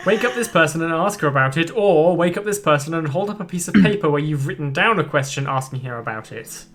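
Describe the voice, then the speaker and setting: A man reads game text aloud to friends on a casual stream, slipping puns in as he goes. His voice is bright and ringing, with a lively rise and fall, and faint music plays underneath. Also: a little high, fast talker. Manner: playful, punning, chatty.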